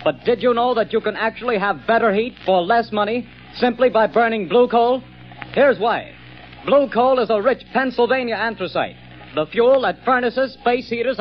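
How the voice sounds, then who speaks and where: A man working as an announcer, reading a 1940s radio commercial with polished, measured salesmanship. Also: extremely high pitch, soft background music. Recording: dull old radio recording.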